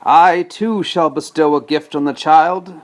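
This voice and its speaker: A man's voice: on the high side, fairly even intonation, a little slow, pitched above the performer's own voice, natural voice. A man voicing a furious, sneering evil fairy woman in a storybook, declaiming with menace.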